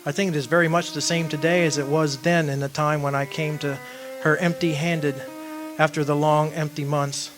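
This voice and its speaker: A man acting in a radio drama as a wistful, earnest man devoted to his lost young love, speaking in deliberate phrases. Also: fairly high pitch, level tone, music in the background, natural voice. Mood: wistful, grieving.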